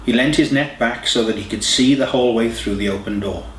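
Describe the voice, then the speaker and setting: A man's voice: medium pitch, a little fast, a bit bright. A man reading a scripted story as an audiobook narrator. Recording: echoey room, distant mic, faint hiss.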